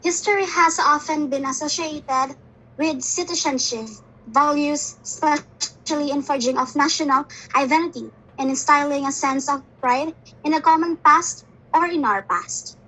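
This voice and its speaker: A young woman giving a formal, measured prepared answer. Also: high voice, sharp tone, low-quality recording. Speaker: student panelist.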